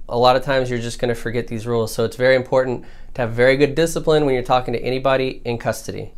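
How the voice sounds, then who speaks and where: A man's voice: mid-range voice, clear, resonant tone. An American man, a defense lawyer, presenting an informal legal explainer in a plain-spoken, colloquial, instructive way.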